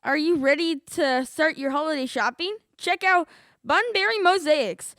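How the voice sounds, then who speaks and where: A woman's voice: high-pitched, normal speed, fairly bright tone, no echo, studio quality. A female middle school student co-hosting a radio show, reading scripted sponsor spots. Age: young adolescent, middle school student.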